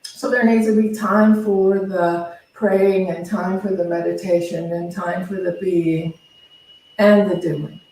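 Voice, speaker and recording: A woman's voice: fairly low pitch, fairly even intonation, slow pace, warm tone. A woman minister giving an informal spiritual teaching talk, conversational and self-deprecating, repeating words for emphasis. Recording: very echoey room, distant microphone.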